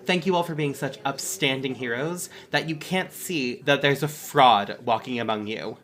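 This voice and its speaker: A man's voice: mid-range voice, moderate pace, fairly bright tone, close to an everyday voice. A man playing an indignant, sarcastic superhero who writes and produces musicals in an audio drama, with a wounded, theatrical manner. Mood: bitter sarcasm.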